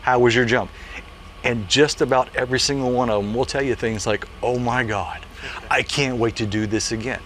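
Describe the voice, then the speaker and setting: A man's voice: medium pitch, steady tone, moderate pace, a bit bright. A man in his late forties, a parachute jumpmaster, telling stories in a casual interview with an earnest manner and many "you know" fillers. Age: late 40s.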